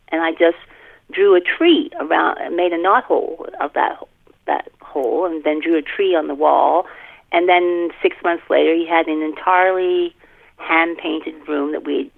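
An older woman, a guest author chatting casually in a podcast interview, animated and self-deprecating, repeating words for emphasis. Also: on the low side, normal speed, gravelly. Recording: thin band-limited phone line.

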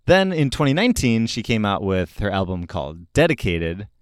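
Man, music show host, informal, enthusiastic, opinionated, smooth clear voice, close dry sound. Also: on the low side, sing-song, normal speed, no echo. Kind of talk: casual album recommendation talk.

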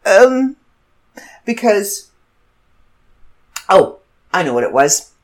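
Congested woman rambling, lively pitch. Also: extremely slow. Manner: rambling, self-correcting storyteller. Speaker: podcast host. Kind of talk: casual solo chat.

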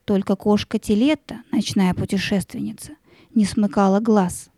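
A woman narrating a children's story in a measured, expressive way, drawing out words for dramatic effect. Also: mid-range voice, dry recording, studio quality.

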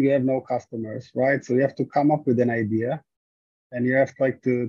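A man's voice: medium pitch, mellow, husky, dry recording. A man from Israel being interviewed about his startup, answering at length with frequent fillers and restarts. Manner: hesitant, many fillers and restarts. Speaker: guest, startup founder. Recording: dull lo-fi sound.

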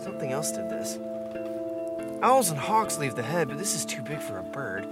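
An actor playing a practical, skeptical outdoorsman in a naturalistic audio drama, with a casual, understated, halting delivery. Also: on the high side, big pitch swings, loud music behind the voice. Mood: thoughtful.

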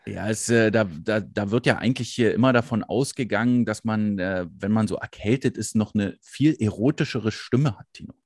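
A man's voice: lowish voice, normal speed, hardly any pauses, a little mellow, no echo, studio quality. A man hosting a casual interview chat, joking and laughing. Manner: chatty, joking, frequent laughs and fillers. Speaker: podcast host.

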